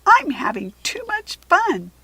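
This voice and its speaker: A woman's voice: extremely high pitch, wildly swooping pitch, takes it slowly, pushed and bright, dry recording, voice pushed up, full character voice. A woman voicing a mischievous, stubborn little female tiger cub with a small growly voice in a children's storybook. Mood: sassy, stubborn.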